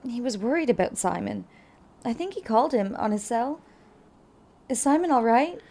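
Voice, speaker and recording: Female secretary and trauma survivor, higher voice, hesitant, apologetic, easily flustered, naturalistic audio drama. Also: expressive, moderate pace, mellow. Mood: guarded.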